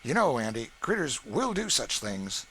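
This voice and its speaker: A man's voice: medium pitch, very animated, moderate pace, a bit bright, slight background noise, pitched above the performer's own voice, rough recording. A man voicing a sly, cheerful young stable hand in an audiobook, with a broad rural dialect and comic exclamations. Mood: sly, exclaiming. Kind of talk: audiobook character voice.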